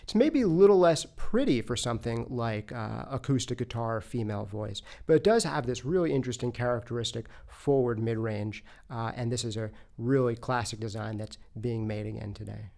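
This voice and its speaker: A man's voice: mid-range voice, animated, fairly quick, vocal fry, few pauses, a little mellow. Conversational man presenting in a studio. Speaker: presenter of a microphone comparison. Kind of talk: explanatory product review talk. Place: recording studio. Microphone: studio microphones, close.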